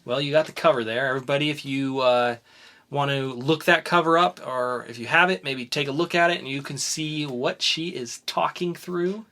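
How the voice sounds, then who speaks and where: A man hosts a casual podcast with a bright, forceful voice. He introduces segments and ribs his co-host in a playful way. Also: mid-range voice, normal speed.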